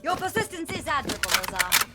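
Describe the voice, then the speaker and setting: A woman's voice: high voice, sing-song, fast talker. A woman playing a cool, capable intelligence agent in a military video game. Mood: cool, wry. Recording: noisy battlefield with gunfire and clicks.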